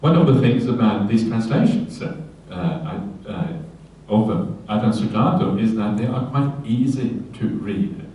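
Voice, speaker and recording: A man, a Buddhist monk giving a relaxed dharma talk on a sutta, often checking in with his listeners and adding light humorous asides. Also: on the low side, a little slow, slight background noise. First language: Norwegian. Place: retreat hall. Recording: very echoey hall, voice from a distance, faint ambience.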